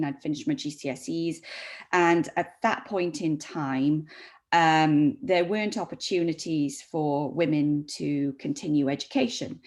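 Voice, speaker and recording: A woman in her forties, raised in Saudi Arabia, with a Welsh accent, giving a measured academic talk with frequent ums and repeated words. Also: low voice. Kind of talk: recorded academic presentation.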